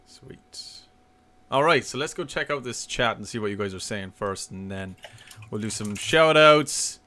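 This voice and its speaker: A man's voice: on the low side, expressive, a little slow, sharp tone. A man hosting a casual live-streamed podcast, upbeat, greeting viewers and reading out chat names. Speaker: podcast host.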